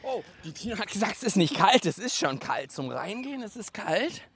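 Very animated man hosting a children's show. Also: high voice, a little fast, husky, dry recording. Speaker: children's radio show host. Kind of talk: casual playful chat for children.

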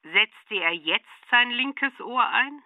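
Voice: medium pitch, animated, slow, no echo. An actor playing a probing interviewer in a scripted radio play, putting short, insistent questions over a narrow band.

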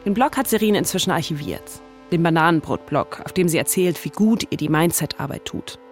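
A woman's voice: on the low side, expressive, brisk. Standard German woman narrating over music. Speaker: podcast host and narrator. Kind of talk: scripted podcast narration.

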